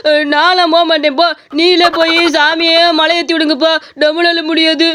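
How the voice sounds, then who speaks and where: A woman voicing an excitable, easily scared village big brother in an animated comedy, complaining in rural Tamil Nadu speech. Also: extremely high pitch, fairly quick. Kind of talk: animated comedy story voice acting.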